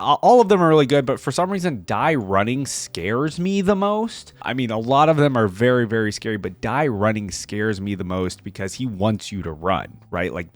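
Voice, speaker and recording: A man's voice: mid-range voice, dry recording. A man hosting a game reaction video with casual, conversational, rambling commentary.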